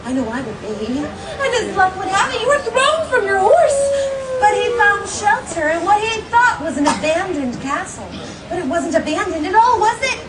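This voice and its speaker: Gracious young storybook heroine, theatrical, encouraging, live children's storytelling, echoey and distant, noisy crowd. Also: female voice, extremely high pitch, big pitch swings, moderate pace. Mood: storytelling, animated. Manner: theatrical, gushing, encouraging, sing-song questioning. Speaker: theme park character storyteller. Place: live storytelling show in a park garden. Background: loud music and audience of children.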